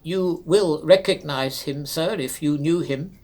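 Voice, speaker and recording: A grave workman in a storybook ghost tale, played by a male reader. He speaks slowly and solemnly, with the deference of a labourer breaking bad news to a gentleman. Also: fairly high pitch, lightly acted. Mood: grave, deferential. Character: grave, respectful workman bearing news of a death.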